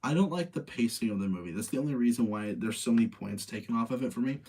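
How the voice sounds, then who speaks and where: Casual male streamer with a slightly husky voice. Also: mid-range voice, fast talker, darkish tone. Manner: opinionated, self-debating, repeats phrases for emphasis. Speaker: streamer hosting a live movie-rating chat. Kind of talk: casual chat with live audience.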